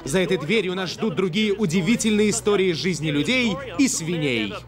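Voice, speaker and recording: A man's voice: high voice, lively intonation, normal speed. A man narrating a cartoon in mock-documentary style, light and mock-serious. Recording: music bed.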